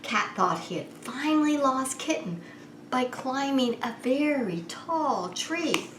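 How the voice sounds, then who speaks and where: A woman reading a picture book aloud to young children as a preschool story time host, expressive and unhurried, drawing the listeners in. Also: high voice. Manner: animated, unhurried, asks the child listeners questions. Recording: roomy echo with faint background noise.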